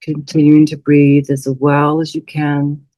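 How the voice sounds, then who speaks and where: A woman leading a guided meditation as a teacher, speaking in a soothing, unhurried way with long pauses. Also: deep voice, completely flat delivery, dark tone, dry recording, poor audio.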